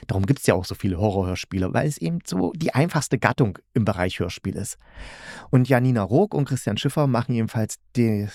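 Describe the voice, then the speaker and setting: A man's voice: mid-range voice, mellow, dry recording, studio quality. A man hosting a podcast, giving a casual, colloquial and opinionated spoken review. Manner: colloquial, opinionated, with frequent tag questions.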